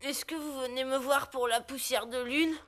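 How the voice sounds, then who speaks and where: Bright, high-voiced little moon caretaker. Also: female voice, fairly even intonation, moderate pace. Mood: welcoming. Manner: courteous, earnest. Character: gentle, polite moon caretaker with a cold. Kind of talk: French children's cartoon dubbing.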